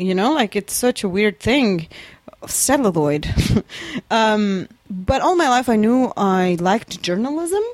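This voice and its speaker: A woman's voice: mid-range voice, no echo. A woman from Lithuania with a Lithuanian accent, talking casually and reflectively as an interview guest. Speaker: interview guest, documentary filmmaker. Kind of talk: casual conversational storytelling.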